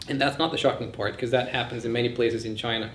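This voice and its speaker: A man lectures informally in a medium-pitched voice, offering firm opinions as he thinks aloud. The room is echoey, with faint noise behind him. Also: steady tone, fast talker. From born in Bulgaria, grew up in several countries. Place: indoors in a session room.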